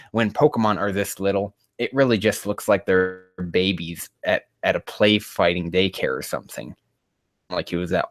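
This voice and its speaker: A man's voice: deep voice, fairly bright tone, no room sound, studio quality. Exasperated man riffing on amateur writing. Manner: exasperated, sweary, rambling. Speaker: host reading and critiquing amateur fan fiction. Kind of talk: casual read-aloud with mocking commentary.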